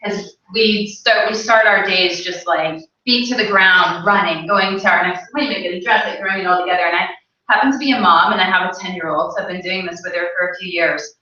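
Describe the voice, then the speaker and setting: A woman's voice: lowish voice, brisk, bright, forceful tone. A woman in her late thirties speaking on a panel, telling personal stories in a candid, informal way. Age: late 30s. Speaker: panel speaker, Ayurvedic practitioner. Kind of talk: casual personal storytelling to an audience. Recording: echoey room, distant mic, dull sound.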